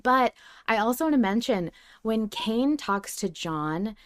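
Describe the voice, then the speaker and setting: A woman's voice: fairly high pitch, expressive, unhurried, no room sound, studio quality. A woman podcast co-host chatting casually and analytically as a fan, in an effusive, emphatic way.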